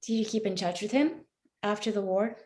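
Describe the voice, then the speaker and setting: A woman's voice: medium pitch, fairly quick, a little mellow, close to an everyday voice. A woman playing a morally troubled woman who questions a cop earnestly and persistently in a live play reading. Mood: gentle.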